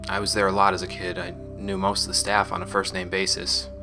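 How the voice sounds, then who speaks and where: A very low-pitched, solemn space marine played by a man records a confessional sci-fi audio log. The delivery is measured and resolute, weighed down by guilt, with clear music playing behind it. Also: completely flat delivery. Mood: somber, reminiscing. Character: resolute, guilt-burdened space marine recounting a gang attack.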